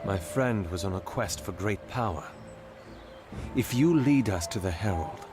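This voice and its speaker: An actor playing a weary, guilt-laden but resolute and loyal man in a fantasy game, speaking in a measured, earnest way. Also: on the low side, expressive. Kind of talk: dramatic fantasy game voice acting. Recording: music behind the voice.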